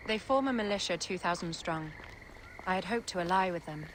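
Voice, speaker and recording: Woman acting a imperious egyptian queen, composed game cutscene voice on the low side, noisy ambience behind. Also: a little fast, natural voice. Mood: calculating. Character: regal, calculating young Egyptian queen, commanding.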